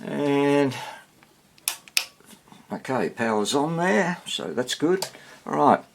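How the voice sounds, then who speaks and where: Slow-talking man thinking aloud. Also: on the high side, animated, vocal fry, noisy background. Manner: muttering to himself, unhurried, pausing between short phrases. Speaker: hobbyist radio repairer presenting his workshop video. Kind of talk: casual hands-on demonstration, thinking aloud.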